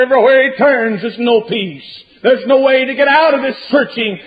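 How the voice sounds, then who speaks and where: A male preacher with a strikingly high voice delivers a fire-and-brimstone sermon. His delivery is dramatic and repetitive, stretching out phrases as he drives each warning home. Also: a bit bright, poor audio.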